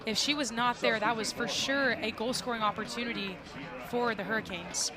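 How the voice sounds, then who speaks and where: Female soccer analyst, slightly high voice, live broadcast over crowd noise. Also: fairly even intonation, normal speed. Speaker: sports colour commentator. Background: stadium crowd.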